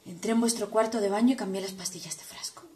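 A woman's voice: mid-range voice, fast talker, dark tone, lightly acted. A young woman acting on stage as a resentful, wounded adult daughter, intense and accusatory. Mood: tense, confiding. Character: wounded, resentful adult daughter pouring out bitterness to her mother. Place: small dim theatre set indoors. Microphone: far camera. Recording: roomy echo, distant camera microphone.

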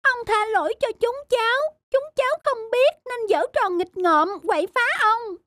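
A woman voicing a small, mischievous young squirrel in a cartoon, with a childish, excitable way of talking. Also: very high voice, normal speed, no echo, full character voice. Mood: apologetic. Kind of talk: cartoon voice acting. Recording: faint music behind.

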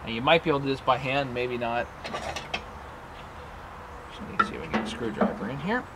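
A man's voice: medium pitch, fast. A man casually narrating a how-to while he works outdoors, talking himself through each step. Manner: chatty, mutters step by step. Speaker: DIY sawmill owner. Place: outdoors at a sawmill. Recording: loud outdoor noise.

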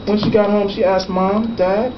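A man's voice: high voice, level tone, lo-fi sound. Man reading aloud in an echoey, noisy room. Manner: conversational, pausing to explain pictures and question the listeners. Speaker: author reading his picture book aloud to children. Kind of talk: storybook read-aloud with casual asides. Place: school classroom, indoors. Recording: echoey, noisy classroom, far from mic. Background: clear room noise with children present.

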